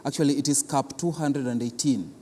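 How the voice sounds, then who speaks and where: A man with a Kenyan accent giving explanatory interview answers as an arts institution official, halting and deliberate, often repeating words for emphasis. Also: mid-range voice, dark tone. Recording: long reverberant hall, clear background noise.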